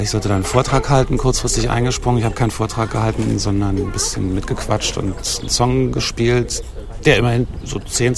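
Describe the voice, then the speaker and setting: A hoarse man hosting a podcast in a casual, rambling, self-mocking way, with dry humor. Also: mid-range voice. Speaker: podcast host. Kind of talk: casual chatty monologue.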